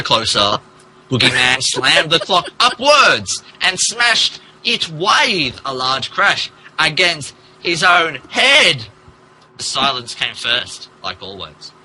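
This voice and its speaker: A man's voice: a little high, sharp tone, slight background noise, poor audio. A man narrating a comic dramatic reading of fan fiction in a theatrical, emphatic manner. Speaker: podcast host reading as narrator.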